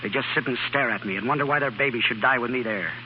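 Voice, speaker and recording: Actor as earnest young doctor, muffled old radio. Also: male voice, medium pitch, fast talker, natural voice. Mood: bitter, despairing. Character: earnest young male country doctor, sincere, self-doubting. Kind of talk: 1930s radio drama acting. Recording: muffled 1930s radio broadcast, faint hiss.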